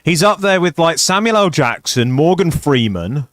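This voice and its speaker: A man with a low voice hosting a casual co-op gameplay session, chatty and playful, swearing now and then. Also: lively intonation, normal speed, a bit bright, no echo, studio quality. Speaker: gaming channel host.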